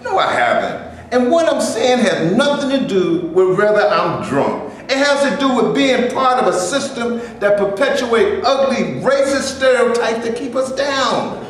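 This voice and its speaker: A man reading a proud, defiant actor who argues with emphatic conviction. His voice sits high and swings widely in pitch. It is heard from a distance in an echoey hall with faint noise behind it. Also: expressive, measured pace. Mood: indignant. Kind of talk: amateur stage reading. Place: stage before a live audience.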